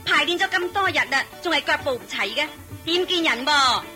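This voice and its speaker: A woman's voice: high voice, expressive, brisk, sharp tone, soft background music. An actress playing a greedy woman who runs a dance troupe and nags her dancers in a scolding, exasperated way in a radio drama. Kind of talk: Cantonese radio drama acting.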